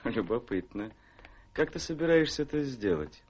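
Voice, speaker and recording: A middle-pitched voice gives this gentleman gambler a weary, worried air. He speaks with glum, dry irony in theatrical radio-play style. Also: very animated, moderate pace, husky, dull audio. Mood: dry, suspicious.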